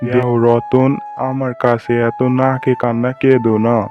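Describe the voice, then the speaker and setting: A man's voice: medium pitch, completely flat delivery, moderate pace, natural voice. A man playing a stern, condescending cartoon landlord who lends money and will not bend. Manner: condescending, firm. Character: stern, unyielding male village landlord and moneylender. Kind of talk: Bengali cartoon voice acting. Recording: dull lo-fi sound, music behind.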